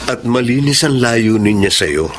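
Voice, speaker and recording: A sincere Filipino man of medium pitch played by an actor, pleading as a loyal brother in a radio drama. Clear noise sits behind the voice. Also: expressive, moderate pace, lightly acted. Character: sincere brother pleading for his sibling's happiness. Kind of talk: Tagalog radio drama acting.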